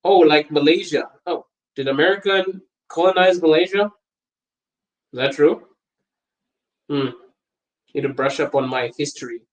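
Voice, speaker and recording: Young guy from botswana hosting a live podcast stream, kinda high voice, laughs a lot, rambly. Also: a little slow, pauses often, low-quality recording. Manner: animated, laughing often, wandering and talkative. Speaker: podcast host on a livestream. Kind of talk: casual livestream chat reading viewer comments.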